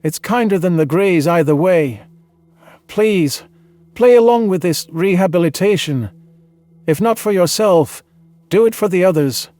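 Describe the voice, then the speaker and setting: A man reading a dramatized science fiction story in the first person, earnest and measured with a dramatic delivery. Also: high voice, expressive, darkish tone, no echo. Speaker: audiobook narrator.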